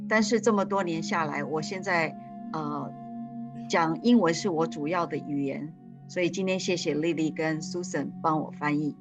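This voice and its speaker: Live interpreter: a woman with a fairly low voice who hesitates and restarts often, with music in the background. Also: normal speed.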